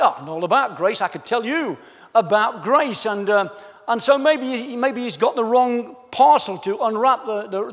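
A man preaching a sermon, reading scripture slowly and then chatting eagerly to the congregation. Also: very high voice. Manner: animated, enthusiastic, chatty asides to the congregation. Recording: hall reverb.

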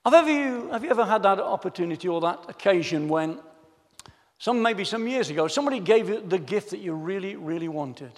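Enthusiastic preacher in a reverberant hall. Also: male voice, high voice, fairly quick. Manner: animated, enthusiastic, chatty asides to the congregation. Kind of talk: sermon with scripture reading.

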